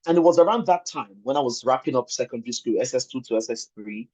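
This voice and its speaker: A man's voice: medium pitch, lively intonation, fast, darkish tone, slightly rough. A man in his thirties with a Nigerian accent, giving an informal webinar talk about himself, conversational and rephrasing for emphasis over an online call. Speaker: webinar speaker. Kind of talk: informal presentation telling a personal story. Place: online video call with screen sharing. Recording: muffled lo-fi online call audio.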